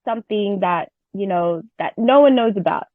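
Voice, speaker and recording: A woman hosting a casual interview in an excitable, giggly manner. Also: mid-range voice, moderate pace, no echo. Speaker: interview host. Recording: dull lo-fi audio, music behind.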